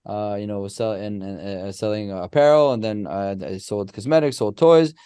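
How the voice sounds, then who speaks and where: A man, a podcast guest who explains ideas through animated, restart-filled stories. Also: lowish voice, normal speed, smooth, dry recording, studio quality. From Israel. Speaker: guest, company co-founder. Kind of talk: casual podcast interview.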